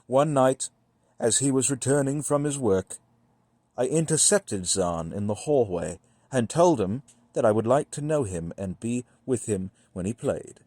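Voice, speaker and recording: A man reading a gothic horror story as scripted narration, in a measured, deliberate manner with dramatic pauses. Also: medium pitch, lively intonation, warm tone, no echo. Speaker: audiobook-style reader.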